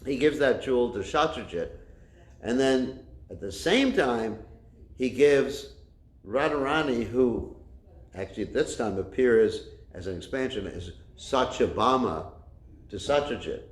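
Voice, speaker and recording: A man with a loud voice giving a religious class, telling a story with many fillers and restarts, recorded without a microphone. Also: mid-range voice, expressive, a little slow. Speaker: spiritual teacher. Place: class room with a kitchen nearby. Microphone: no microphone, room recording. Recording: echoey room.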